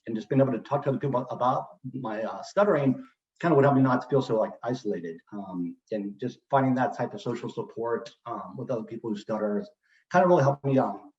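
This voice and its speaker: A man's voice: medium pitch, expressive, fast talker, darkish tone, highs cut off. Man who stutters, distant roomy sound. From Texas, US. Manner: casual, with fillers and occasional stutters. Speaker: presenter at a speech celebration. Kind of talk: personal talk about stuttering.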